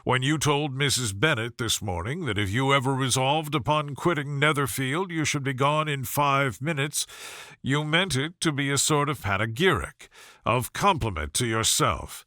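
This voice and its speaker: Storybook role: male reader as a proud young gentleman, formal and cool, clipped in manner, close dry sound. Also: mid-range voice, moderate pace, no echo, lightly acted. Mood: critical. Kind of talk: audiobook character voice.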